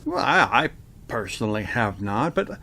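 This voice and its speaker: A man acting as a genial but creepy radio theater host, with a theatrical, smoothly polite delivery. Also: fairly low pitch, big pitch swings, normal speed, bright, forceful tone, heavily acted. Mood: casually polite. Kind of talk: old-time radio host introduction and acting.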